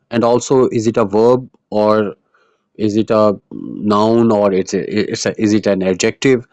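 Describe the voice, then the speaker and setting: A man's voice: mid-range voice, a little mellow, dry recording. A man who speaks Urdu as his first language, teaching IELTS writing in an earnest, explanatory way, with frequent fillers and restarts. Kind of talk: online lesson monologue.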